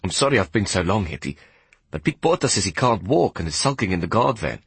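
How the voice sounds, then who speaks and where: A man's voice: on the low side, sing-song, a little fast, gravelly, breathy edge, no room sound, full character voice. A man reading an audiobook, voicing a flustered train attendant apologizing and explaining. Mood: apologetic.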